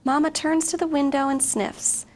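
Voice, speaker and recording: A woman's voice: high voice, little pitch movement, moderate pace, darkish tone. A woman reading a picture book aloud expressively to children. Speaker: guest story reader. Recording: faint background noise.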